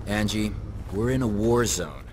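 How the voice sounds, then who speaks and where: An actor playing a principled, determined resistance soldier in a video game, speaking in an earnest, firm way. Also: male voice, lowish voice, animated, a little slow. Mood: firm, explaining. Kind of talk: dramatic video game acting. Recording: loud music and vehicle noise behind.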